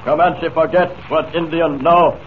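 Male actor as proud, loyal tribesman, clipped broken English, deliberate delivery, faintly noisy vintage broadcast. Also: a little high, fairly even intonation, close to an everyday voice. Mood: proud, determined. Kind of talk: 1940s radio serial acting. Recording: tinny, noisy 1940s radio broadcast.

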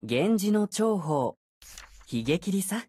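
A man's voice: high-pitched, very animated, takes it slowly, a little mellow, husky, no room sound, studio quality, full character voice. A man voicing an easygoing, unfazed ancient sword spirit in youthful male form for a game, with languid, nonchalant phrasing. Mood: composed. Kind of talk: Japanese game character voice acting.

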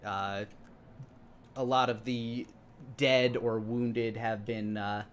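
A man in his thirties narrating a tabletop game as dungeon master, halting and pausing as he goes. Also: medium pitch, little pitch movement. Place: indoor room, online game stream. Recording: clear background noise on mic.

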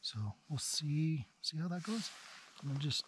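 A man in his thirties vlogging solo from a small tent while camping, talking slowly and sometimes dropping to a whisper. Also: on the high side, a little hiss or hum. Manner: slow, relaxed, drops to a whisper at night. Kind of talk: casual vlog narration. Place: small tent outdoors in the mountains.

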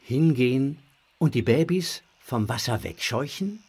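Husky male storyteller. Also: mid-range voice, expressive, a little slow, darkish tone. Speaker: narrator. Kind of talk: scripted narration of a children's audio drama.

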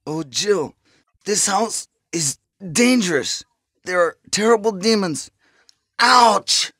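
Brief: wounded male soldier, weak, pained, halting and slow, stilted retro game acting. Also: high-pitched, animated, bright, forceful tone, dry recording, clean studio sound, heavily acted. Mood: pained warning. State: in pain, weak. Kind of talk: 1990s video game voice acting.

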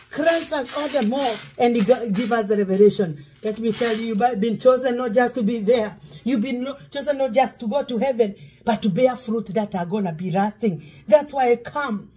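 A woman's voice: on the high side, darkish tone, a little hiss or hum. A middle-aged woman pastor, preaching while cooking in her home kitchen, breaking into song now and then. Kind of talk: cooking demo sermon. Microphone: fixed camera across counter.

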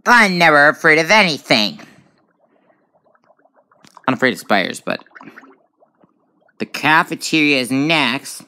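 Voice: medium pitch, animated, unhurried, pauses often, bright, forceful tone. A gamer giving casual let's play commentary on a tablet game, reacting aloud with frequent exclamations. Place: indoors at a tablet.

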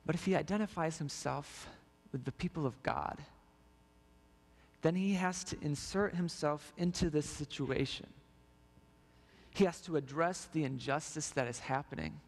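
A young man delivers a storytelling sermon in a slightly high voice. His words ring out with the long reverb of a large church sanctuary, picked up by a body mic as he walks the stage. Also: normal speed, creaky. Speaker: preacher.

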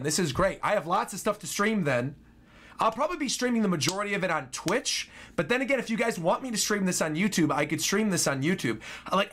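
A man in his twenties giving excitable, casual live commentary as a reaction streamer in a small room at home. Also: sits high. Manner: excitable, animated. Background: game trailer music.

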